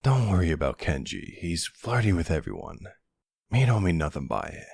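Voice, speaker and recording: Mafia boss love interest, played by a man for ASMR roleplay: playful swagger, lively intonation, very close dry sound. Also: low-pitched, moderate pace, no room sound, heavily acted. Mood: relaxed, fond. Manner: playful, teasing, smooth-talking with tough-guy swagger. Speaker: voice actor in an audio roleplay. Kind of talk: intimate ASMR boyfriend roleplay acting.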